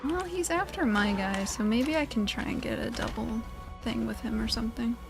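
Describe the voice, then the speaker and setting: A woman's voice: on the high side, expressive, moderate pace. A woman giving casual video game commentary, with dry, sarcastic asides. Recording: clear game music behind. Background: video game music.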